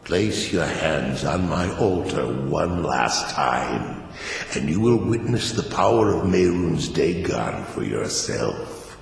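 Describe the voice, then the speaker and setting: A cavernous, bottomless-voiced demon lord. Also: male voice, very deep voice, animated, vocal fry, heavily acted. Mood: pleased, imperious. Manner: grand, slow, domineering pronouncements. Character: imperious male demon lord of destruction, menacing, commanding. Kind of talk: fantasy video game voice acting. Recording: cavernous reverb, faint background noise.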